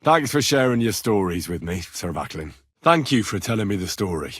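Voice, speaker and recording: A man acting a young, plain-spoken medieval retainer in a video game, earnest and curious, with an expressive, conversational delivery. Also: lowish voice, normal speed, slightly rough, no room sound, clean studio sound, exaggerated voice. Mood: polite, friendly. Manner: conversational, expressive, questioning, folksy turns of phrase.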